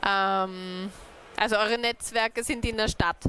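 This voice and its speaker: A woman's voice: medium pitch, steady tone, takes it slowly, sharp tone, smooth, poor audio. A woman giving an informal, hesitant talk to a small group in a room, with many fillers. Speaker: workshop presenter.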